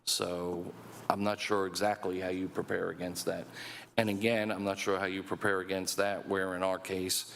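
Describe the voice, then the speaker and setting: A man's voice: low-pitched, level tone, moderate pace, gravelly, a bit bright, a little hiss or hum. A man on a business panel speaking hesitantly and reflectively in a meeting room. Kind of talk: panel talk.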